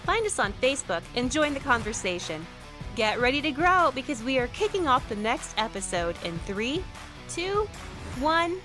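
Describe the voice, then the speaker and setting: Lively woman announcer over music. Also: sits high, normal speed. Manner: upbeat countdown delivery. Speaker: show announcer. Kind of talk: scripted promo intro. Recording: intro read over music.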